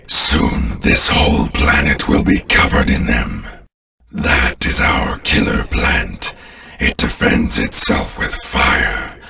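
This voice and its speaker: A voice actor playing a menacing, commanding alien warlord in a video game, with a deep, gravelly voice. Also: male voice, pushed and bright, recorded from a distance, exaggerated voice. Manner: commanding, contemptuous, grandiose.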